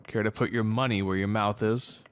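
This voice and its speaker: A man plays a world-weary doctor in an audio drama. His voice sits fairly low and his delivery is dry and understated, with a wry, bitter edge toward an old friend. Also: fairly even intonation, a little fast, slight background noise, close to an everyday voice. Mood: wry challenge.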